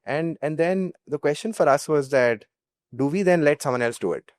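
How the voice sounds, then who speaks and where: A young man with an Indian accent answering as a startup founder, candid and halting as he repeats words. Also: a little high, dry recording, studio quality. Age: young adult. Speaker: startup founder being interviewed. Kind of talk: conversational interview.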